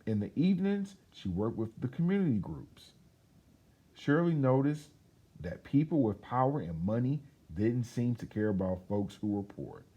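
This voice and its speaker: A man reading a children's picture book aloud in an expressive, emphatic storytelling manner. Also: medium pitch, normal speed, breathy edge, muffled recording. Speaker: children's read-aloud host.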